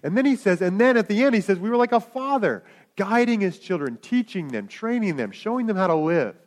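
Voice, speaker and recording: A man's voice: high-pitched, very animated, fairly quick. A man preaching a sermon, in a conversational way with asides to the congregation. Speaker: pastor.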